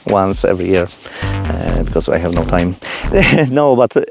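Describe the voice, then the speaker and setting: A man's voice: deep voice, animated, moderate pace, gravelly, over music. A man from Poland with a Polish accent, chatting casually to viewers as a vlogger, effusive and often repeating words for emphasis. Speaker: vlogger presenting own channel. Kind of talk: casual personal vlog chat.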